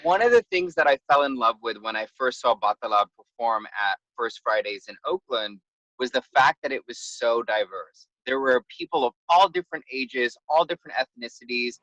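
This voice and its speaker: A man's voice: medium pitch, lively intonation, normal speed, pushed and bright, no echo, low-quality recording. A man speaking casually and unscripted as a guest on a community band's panel. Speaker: panel guest, band member.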